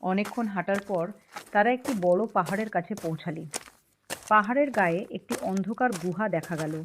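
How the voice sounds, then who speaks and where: A woman's voice: mid-range voice, fairly quick, noisy background. Woman narrating a cartoon story. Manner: even, storytelling. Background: background music.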